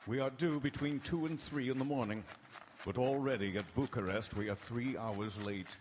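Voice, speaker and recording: A man's voice: medium pitch, normal speed, close to an everyday voice. An actor playing an earnest, weary Victorian doctor reading out his journal in a live radio drama. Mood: grave, reporting. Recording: echoing hall with live music behind.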